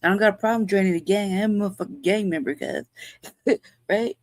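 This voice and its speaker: A woman's voice: medium pitch, quick pace, a little mellow, no room sound. A woman hosting a show, talking in blunt, profane, rambling commentary and laughing often. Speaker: show host.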